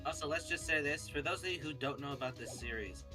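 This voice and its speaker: A man's voice: on the high side, fast. A playful man joking casually as a co-player on a gaming stream. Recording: clear music behind.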